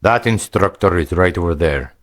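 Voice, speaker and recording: A man voicing a big, burly Viking warrior who gives steady, plain advice in a storybook reading. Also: low-pitched, normal speed. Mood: helpful.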